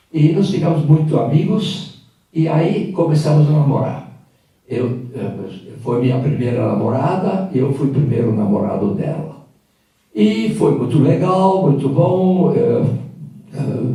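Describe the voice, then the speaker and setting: Older guy w telling his story, dark mellow voice, far off in a big echoey room. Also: mid-range voice. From Hungary. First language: Hungarian. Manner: reflective, halting with fillers. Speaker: guest speaker. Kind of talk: personal storytelling talk. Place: event hall. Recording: echoey room from a distant mic.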